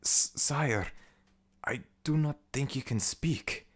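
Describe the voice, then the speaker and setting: A man plays an underworld servant in an audio roleplay, pitched well up from a deep natural voice. The figure is nervous and servile. He speaks in a halting, deferential way, as if reporting to a master. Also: husky. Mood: timid. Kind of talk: ASMR audio roleplay acting.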